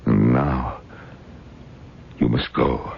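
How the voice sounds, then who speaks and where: Very deep, gravelly, stern rabbi. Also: male voice, steady tone, full character voice. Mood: solemn, commanding. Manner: grave, solemn, deliberate. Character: stern old male rabbi passing solemn judgment. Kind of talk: radio drama acting. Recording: muffled, noisy radio broadcast recording.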